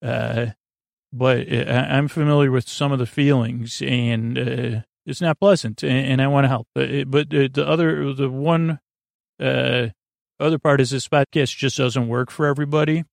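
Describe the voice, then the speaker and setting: A man hosting a bedtime podcast, rambling in a halting, meandering way and often trailing off mid-thought. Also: medium pitch, steady tone, dry recording, studio quality. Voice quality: creaky, gravelly. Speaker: podcast host.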